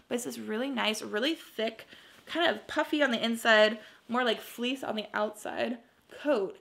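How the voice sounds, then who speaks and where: A young American woman vlogging from her living room in a casual, chatty way. Her voice is bright and forward, with a noticeable creaky fry. She sounds upbeat and gushes over what she shows. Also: medium pitch. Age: young adult. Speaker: vlogger, clothing reseller. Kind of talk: casual chatty haul video to camera.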